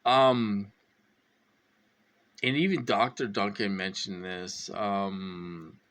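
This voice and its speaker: A man of fifty talking to viewers in a rambling, halting monologue, full of fillers and repeats, sounding anxious and insistent. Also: lowish voice, fairly even intonation, a bit bright. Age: 50s. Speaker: vlogger.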